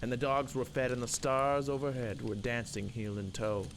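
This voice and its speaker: A man with a mid-range voice recites a narrative poem in a theatrical way. His delivery is rhythmic and emphatic, as a dramatic storyteller. Clear noise and ambience sit behind the voice. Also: mid-range voice, flat delivery, moderate pace. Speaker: poem reader. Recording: loud background noise and ambience.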